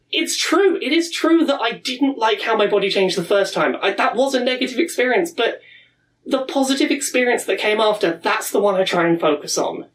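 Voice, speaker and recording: A woman's voice: fairly high pitch, recorded from a distance, rough recording. A woman in her thirties giving an earnest, reflective personal talk at a pride event. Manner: earnest, warm, with reflective pauses and restarts.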